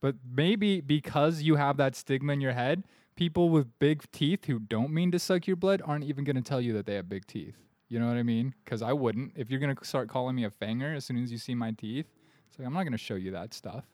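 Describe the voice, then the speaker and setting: A man's voice: mid-range voice, a little fast, a little mellow, no echo. A man in his thirties, a comedian guest on a podcast, rambling in a self-deprecating, mock-earnest way. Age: 30s.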